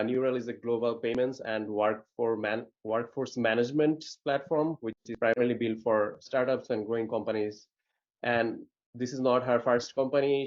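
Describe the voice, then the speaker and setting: A man hosting an interview, introducing his guest and asking questions hesitantly, with many fillers. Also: medium pitch. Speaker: community host. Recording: dull lo-fi audio.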